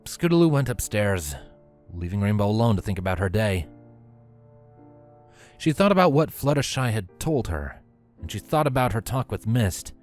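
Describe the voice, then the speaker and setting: A man's voice: lowish voice, very animated, normal speed, pauses often, darkish tone, soft background music. A man reading scripted story narration as the narrator of an audio storybook.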